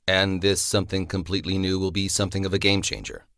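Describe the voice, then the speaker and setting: Deep-voiced man explaining his writing. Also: flat delivery, fast, a bit bright, no echo, clean studio sound. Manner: thoughtful, explanatory, with fillers. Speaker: series writer and creator. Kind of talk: interview answer.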